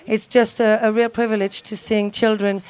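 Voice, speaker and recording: A woman's voice: mid-range voice, flat delivery, normal speed, a little mellow, clean tone. A woman founder of an outdoor school giving a radio interview at an outdoor event, talking fluently but with many fillers and restarts. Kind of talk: radio interview, switching between English and French.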